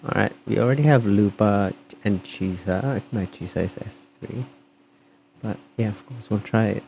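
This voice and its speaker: A man's voice: fairly low pitch, normal speed, gravelly, dark tone, slight background noise. A man giving casual commentary as he streams a video game.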